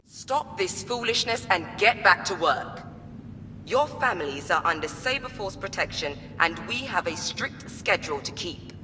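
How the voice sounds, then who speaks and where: Cold bitter lady company boss in a video game, measured n imperious, music behind her. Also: lowish voice, expressive, low-quality recording. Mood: cold, commanding. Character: cold, embittered corporate president, a grieving mother underneath. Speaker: company president. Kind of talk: dramatic video game cutscene acting.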